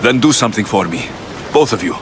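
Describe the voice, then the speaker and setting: An actor playing a grieving, angry young ancient Greek mercenary in a video game cutscene, blunt and commanding. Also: male voice, on the low side, lively intonation, quick pace, background noise, close to an everyday voice. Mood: low, somber. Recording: music behind the voice.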